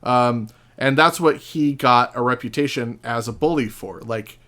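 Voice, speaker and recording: A man in a casual podcast discussion, recounting stories in an animated, profane way as a guest. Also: mid-range voice, a little slow.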